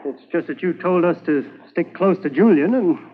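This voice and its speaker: A man plays an apologetic Mountie constable with a high voice, breaking bad news hesitantly. The sound is tinny, like an old radio transcription. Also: lively intonation, moderate pace, slight background noise, natural voice. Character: young, dutiful Mountie constable.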